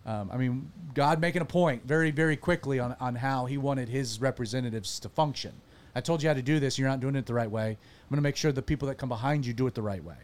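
A man who pastors and hosts a talk show, chatting casually with a panel, animated and self-deprecating, often restarting his sentences. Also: medium pitch, fast. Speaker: pastor and podcast host.

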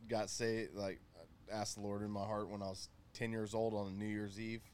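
A man in his thirties talking casually and laid-back, pausing often with fillers as he tells his story. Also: on the low side, flat delivery, a little mellow. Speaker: guest. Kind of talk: casual chat.

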